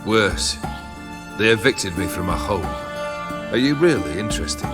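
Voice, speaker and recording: A world-weary cursed nobleman speaks slowly with bitter, sardonic humor. A game score plays clearly behind him. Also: lowish voice, expressive. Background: game music score.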